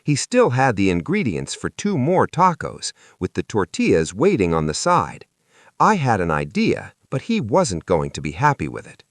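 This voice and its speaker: Animated male storyteller with very lively pitch. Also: moderate pace, no room sound. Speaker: story narrator. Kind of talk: scripted first-person children's audiobook narration.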